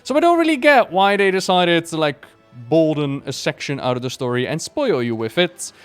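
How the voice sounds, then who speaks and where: A man's voice: fairly high pitch, animated, normal speed, smooth, faint music behind. A man chatting casually and enthusiastically as he reviews something for viewers, rambling a little and stressing his praise. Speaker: video creator reviewing a book.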